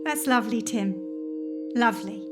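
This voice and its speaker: A woman playing a doting but sharp-tongued working-class mother in a radio play, affectionate and scolding. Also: fairly high pitch, moderate pace, over loud music, natural voice. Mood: gentle. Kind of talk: stage radio-play acting.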